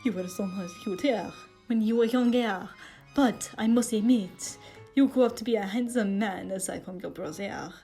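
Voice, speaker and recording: A woman's voice: mid-range voice, moderate pace, mellow, faint music behind, close to an everyday voice. A woman voicing a flirtatious, melodramatic Frenchman in an audio story, cooing and theatrical. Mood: mock hurt. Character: flirtatious, melodramatic Frenchman, teasing and mock-wounded.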